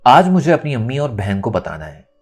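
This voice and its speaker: A man narrator plays a determined young woman in an audio novel. She is bold and insistent, with animated, swinging pitch. Faint music sits underneath. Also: brisk, husky. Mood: assured.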